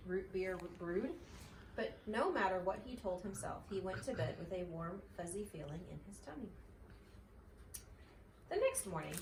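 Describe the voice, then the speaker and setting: Woman reading a storybook, echoey room. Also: medium pitch. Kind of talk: children's story read-aloud. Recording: echoey, slightly distant, faint noise.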